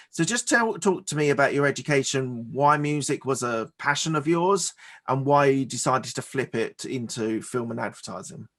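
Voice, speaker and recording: A man's voice: medium pitch, fairly bright tone. A man speaking as a podcast host and interviewer, sometimes repeating words as he forms his questions.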